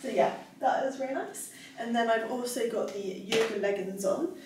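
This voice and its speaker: A woman's voice: a little high, normal speed, recorded from a distance, noisy background. Bubbly giggly young woman in echoey room. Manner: bubbly, giggly, chatty with many fillers. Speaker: fitness vlogger. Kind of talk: casual try-on haul chat. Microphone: camera across the room.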